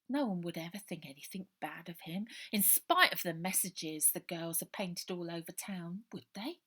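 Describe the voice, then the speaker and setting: A woman's voice: lowish voice, fairly bright tone. A woman with an English accent reading scripted narration from a children's story. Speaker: author reading her own children's novel.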